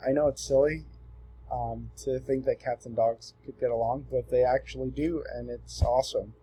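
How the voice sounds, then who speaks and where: A man in his twenties from Southern California, giving a relaxed, earnest self-introduction for a class assignment. He often pauses with ums and restarts his sentences. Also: medium pitch, slight background noise, low-quality recording. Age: 20s. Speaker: student presenting himself.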